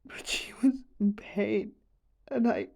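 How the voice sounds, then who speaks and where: A man playing a distraught, grieving young man in an audio roleplay confession, stammering and trailing off as he haltingly tells an unbelievable story. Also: sits high, creaky, a little mellow, whispered, heavily acted. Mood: grieving, anguished.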